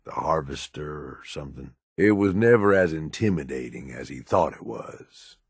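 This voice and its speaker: A cynical American raider lieutenant from a video game, low-pitched and gruff. He talks streetwise and sardonic, with a profane, menacing edge. The recording is close and dry. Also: lively intonation, normal speed, dry recording, full character voice. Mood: candid, dry. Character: hard-bitten, cynical male raider lieutenant, menacing schemer. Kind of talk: in-game character dialogue.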